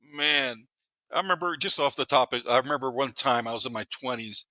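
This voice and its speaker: A man's voice: mid-range voice, a little fast, bright, forceful tone, dry recording. A man, chatting casually as a podcast guest and telling stories bluntly, with slang, swearing and repeated words for emphasis. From Panama. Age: 50s. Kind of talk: casual chat, storytelling.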